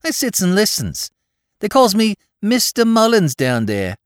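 A man voicing a cheeky, excitable young Bowery crook with a New York accent, talking in rapid slang. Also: a little high, dry recording, clean studio sound, pitched above the performer's own voice. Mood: excited.

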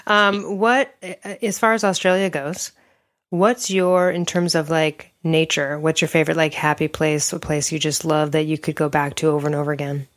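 A woman hosts a podcast in a somewhat low voice, playful and quick to joke with her guest. Her talk stays loose and conversational. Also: fairly even intonation. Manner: playful, laughs easily. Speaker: podcast host. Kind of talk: casual interview chat.

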